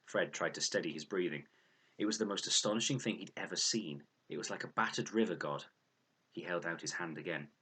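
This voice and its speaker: Low-voiced man reading an adventure story. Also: brisk, sharp tone, breathy edge. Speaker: audiobook reader. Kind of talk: expressive scripted storybook narration.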